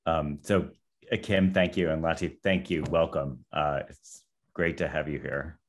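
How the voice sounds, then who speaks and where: Deep-voiced man hosting casually. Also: steady tone, measured pace, a little hiss or hum. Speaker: moderator and professor hosting a conversation.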